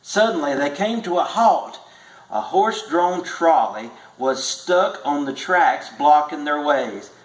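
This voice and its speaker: A man reading a tall tale aloud as a storybook narrator, measured and with stretched emphasis. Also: a little high, lively intonation. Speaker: storybook reader. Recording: echoey room.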